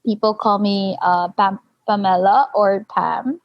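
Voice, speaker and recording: A woman's voice: medium pitch, steady tone, unhurried, dry recording, lo-fi sound. A woman in her thirties with a Filipino accent, a podcast guest who laughs often while talking over a video call. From Philippines. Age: 30s. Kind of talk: casual interview chat. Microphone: remote video call.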